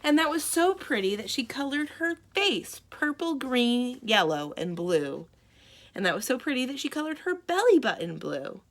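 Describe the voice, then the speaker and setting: A woman storyteller reads a picture book to children. Her voice is on the high side and very lively, with dramatic emphasis and stretched-out words. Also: moderate pace. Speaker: library storyteller. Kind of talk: expressive children's picture book read-aloud.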